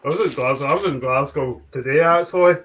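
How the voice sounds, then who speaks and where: A man in a casual chat, talking hesitantly with many pauses and restarts. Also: on the high side, a bit bright, slight background noise. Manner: hesitant, full of ums and restarts. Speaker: chat guest. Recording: dull lo-fi audio.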